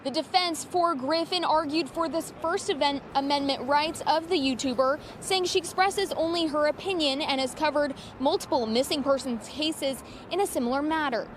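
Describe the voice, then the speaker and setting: Female TV reporter, high voice, scripted field report, slight outdoor traffic noise. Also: moderate pace, few pauses. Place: outdoors outside a courthouse.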